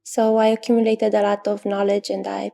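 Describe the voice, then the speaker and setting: Romanian woman, 30s, interview guest; mellow, gravelly tone, even delivery, pauses to think. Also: medium pitch, slight background noise. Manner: thoughtful, many pauses and fillers, soft laughs. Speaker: astrologer guest. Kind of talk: interview answers.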